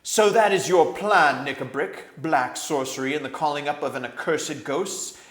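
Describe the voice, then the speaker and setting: A man's voice: on the high side, normal speed, fairly bright tone, roomy sound, pitched above the performer's own voice, natural voice. A man voicing a noble young king in a storybook, indignant and commanding, with declamatory force. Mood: thunderous outrage.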